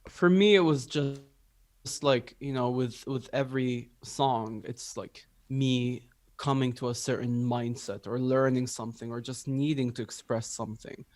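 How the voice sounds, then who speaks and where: A man's voice: mid-range voice, fairly even intonation, a little slow, a little mellow, no room sound. A young man from Libya, a musician, talking reflectively in a casual interview with many filler words. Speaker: musician guest.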